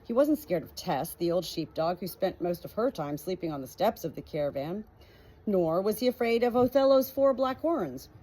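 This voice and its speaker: A woman with a slightly husky voice narrating a storybook from a script. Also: on the low side, expressive, normal speed, dark tone, rough recording. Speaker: audiobook reader.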